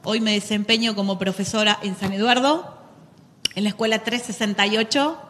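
A woman's voice: mid-range voice, brisk, a bit bright, big-room sound. A middle-aged Argentine woman teacher talking earnestly in a studio interview, with many fillers. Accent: Argentine Spanish. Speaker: teacher of economics, guest. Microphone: handheld mic.

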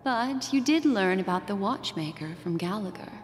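A woman voicing a mysterious fortune-teller in a video game, telling a slow, soothing bedtime-story recap. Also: medium pitch, expressive, slight background noise, close to an everyday voice. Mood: soothing, enigmatic. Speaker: game character narrating a recap. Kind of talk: video game cutscene voice acting. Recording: game audio with long reverb and music.

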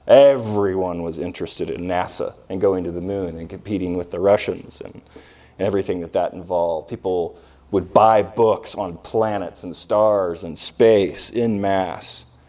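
Casting: man as history professor, lowish voice, informal and emphatic classroom delivery, picked up by a distant mic. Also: fairly even intonation, a little mellow. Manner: conversational, emphatic, with rhetorical questions and pauses. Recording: distant microphone in live lecture.

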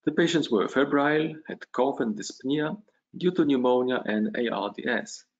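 Doctor lecturing over video call. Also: male voice, medium pitch, expressive. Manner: measured, hesitant with fillers. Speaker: lecturing physician. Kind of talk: academic conference lecture. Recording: dull lo-fi video call audio.

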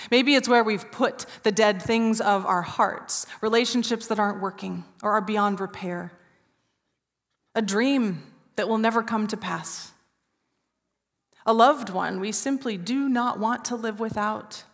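A woman in her forties preaching an Easter sermon from a church stage, telling a story with hushed, drawn-out pauses. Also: mid-range voice. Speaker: pastor. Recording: reverberant church hall.

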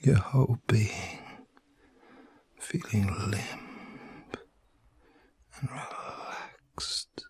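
Slow, whispering middle-aged man. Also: mid-range voice, huge pitch swings. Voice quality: breathy, mostly whispered. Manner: soothing, gentle, unhurried. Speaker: reiki healer, ASMR host. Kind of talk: whispered ASMR guided relaxation. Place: room at home.

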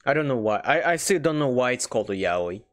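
A man's voice: mid-range voice, animated, fast talker. A young man hosting a reaction video, chatting casually and amused from a small room at a desk microphone. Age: young adult. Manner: amused, chatty, often laughing between thoughts. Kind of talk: casual commentary reacting to clips. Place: small home room with curtains. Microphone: desk microphone on stand.

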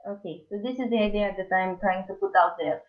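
Hesitant caller on a tinny phone line. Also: female voice, mid-range voice, brisk, soft background music. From Romania. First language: Romanian. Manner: hesitant, nervous laughs, many fillers.

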